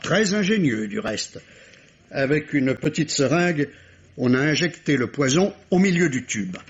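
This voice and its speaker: A man's voice: on the high side, sing-song, unhurried. A man acting a shrewd, insistent police inspector in a vintage radio mystery, speaking formally and precisely. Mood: explanatory. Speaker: radio drama actor. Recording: dull, lo-fi old radio recording.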